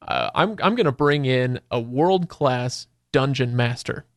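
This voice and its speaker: A man hosting a casual podcast discussion, talking conversationally as he thinks out loud. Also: mid-range voice, expressive, measured pace, dry recording, studio quality. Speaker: podcast host.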